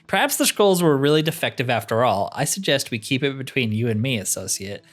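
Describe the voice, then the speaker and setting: A man voicing a polite fantasy guild mage from a roleplaying game, measured and slightly formal. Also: medium pitch, lively intonation, lightly acted. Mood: discreet, formal. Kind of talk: reading roleplay game dialogue aloud.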